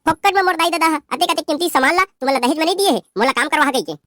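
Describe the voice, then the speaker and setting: Super high bossy chhattisgarhi bahu, snapping and bragging, dry close sound. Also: lively intonation, racing, sharp tone, no room sound. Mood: haughty. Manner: scolding, rude, boastful. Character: spoiled haughty young daughter-in-law, sharp-tongued. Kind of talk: cartoon voice acting.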